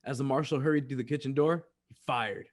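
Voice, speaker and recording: A man's voice: medium pitch, fairly even intonation, fairly quick, slight background noise, close to an everyday voice. A man narrating an old-time western radio drama in a dramatic, scripted storytelling style.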